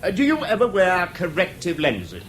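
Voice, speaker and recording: An actor with an English accent playing a formal, probing prosecuting barrister in a 1970s British radio drama, deliberate and insistent with weighty pauses. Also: male voice, high voice, huge pitch swings, a bit bright, exaggerated voice. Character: probing, theatrical male prosecuting barrister in court. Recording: faintly noisy old radio broadcast.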